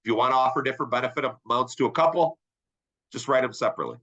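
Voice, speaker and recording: A middle-aged man with a stuffy, nasal voice from a sinus infection leads a training webinar. His tone is bright and forward, and he talks in a chatty, upbeat way. He keeps asking his listeners "right?" as he goes. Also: mid-range voice, very fast, muffled recording. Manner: upbeat, conversational, asks "right?" often. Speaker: insurance sales trainer. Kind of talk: webinar presentation.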